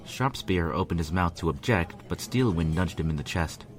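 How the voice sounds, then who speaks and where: A man reading a first-person story aloud as narrator, with an earnest, measured, brooding delivery. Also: low-pitched, animated. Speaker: fan fiction reader, narrator. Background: instrumental music.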